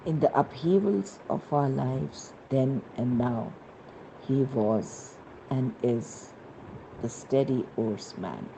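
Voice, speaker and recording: Woman, devotional narration, gravelly low voice, reverent, measured, emphatic phrasing, slightly noisy recording. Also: animated, warm tone. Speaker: narrator reading a devotional book.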